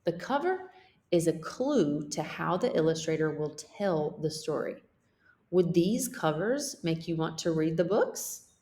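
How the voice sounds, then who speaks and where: A woman teacher reading a children's picture book aloud in a deliberate, expressive way. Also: sits low.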